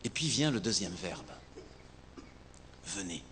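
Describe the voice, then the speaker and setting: A man preaching a sermon as a pastor, emphatic and rhetorical, with deliberate repetition and pauses. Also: mid-range voice, animated. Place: large reverberant hall, speaking to a congregation. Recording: echoey hall, long reverb, some background noise.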